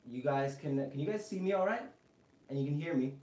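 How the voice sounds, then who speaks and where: A man with a mix of British and American accent, teaching guitar on a live stream and chatting with viewers in a relaxed, rambling way full of fillers. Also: a little high, fairly quick. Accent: British English mixed with American. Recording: roomy echo, faint guitar behind.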